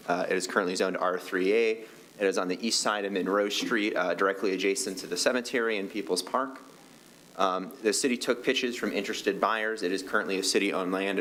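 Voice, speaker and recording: Deep-voiced man presenting quickly. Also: a bit bright, a little hiss or hum. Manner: fast, explanatory, with uhs and ums. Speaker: city staff presenter. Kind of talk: staff report presentation. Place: city meeting chamber.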